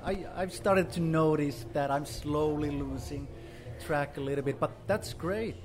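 A man's voice: on the high side, unhurried, music in the background. A man in his forties, from Finland and raised in Sweden, speaking casually with many fillers as an interview guest. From Finland, grew up in Sweden. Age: 40s. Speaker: guest artist.

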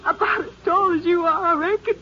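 An actress playing a distraught young shop clerk who pleads through tears, in an old radio drama. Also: female voice, very high voice, fairly quick. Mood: tearful. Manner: pleading, tearful. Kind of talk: 1950s radio drama acting. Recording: dull lo-fi old radio recording.

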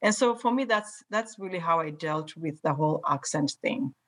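A Kenyan woman with a fairly low voice speaks as a panel guest. She talks in a measured, deliberate way and sounds out each word with care. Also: lively intonation, fairly bright tone. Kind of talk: panel discussion.

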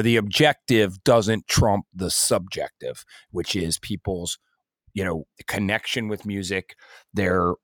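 A man's voice: on the low side, fairly bright tone, no echo. A man co-hosting a podcast as an artist manager, talking casually and earnestly as he explains and advises, often saying "you know".

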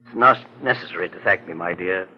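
A man playing a kindly, assured older gentleman detective in a 1940s radio drama. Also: sits low, fairly quick, natural voice. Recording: dull lo-fi 1940s radio recording.

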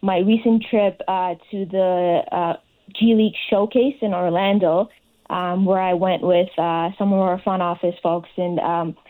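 A woman's voice: lowish voice, steady tone, a little slow, narrow, tinny audio. A young adult woman speaking as an interview guest, giving conversational answers. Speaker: interview guest, sports fellowship participant.

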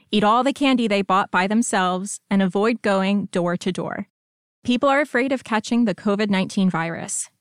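A woman's voice: mid-range voice, clean tone, no room sound, studio quality. A woman reading a scripted introduction as a podcast narrator.

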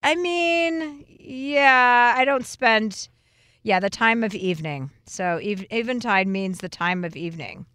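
A woman's voice: a little high, lively intonation, takes it slowly, fairly bright tone, no echo, clean studio sound. A woman hosting a podcast, chatting casually and joking with her co-host, drifting into asides as she talks. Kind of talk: casual chat.

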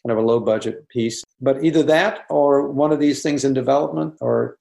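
A man, a guest director on a video call, talking casually, halting and repeating words with many fillers. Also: medium pitch, gravelly, a little mellow, echoey room.

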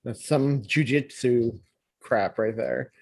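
A man's voice: medium pitch, fairly even intonation, slow, gravelly, a little mellow, husky. A man hosting a podcast in a casual, chatty style. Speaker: podcast host. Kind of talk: casual chat.

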